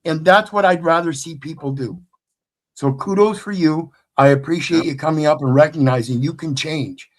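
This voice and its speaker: A man speaks bluntly and emphatically on a discussion panel, with a preachy edge. His pitch sits a little above the usual for a man. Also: moderate pace. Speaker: panel guest. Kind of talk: casual panel talk.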